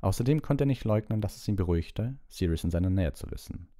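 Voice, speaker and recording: A man's voice: low-pitched, animated, fast, dark tone, no echo, studio quality. A man narrating a novel as an audiobook, reading from a script.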